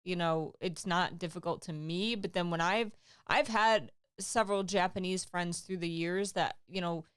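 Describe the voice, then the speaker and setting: A woman's voice: on the low side, normal speed, a bit bright, clean tone, no room sound, studio quality. A woman co-hosting a book club livestream, chatting casually and reading out viewer comments. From English-speaking country. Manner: chatty, reads out chat comments, frequent fillers. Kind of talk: casual chat.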